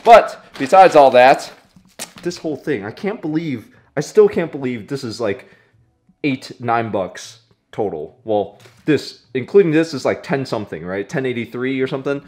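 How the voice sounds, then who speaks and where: A young man in his twenties whose first language is not English chats to a camera held close to him at home. He is excitable and slangy, and his pitch sits in the middle for a man. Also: moderate pace. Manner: excitable, slangy, says "dude" and "freaking" a lot. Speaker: vlogger. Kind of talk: casual vlog to camera. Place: car, then kitchen, then living room, indoors.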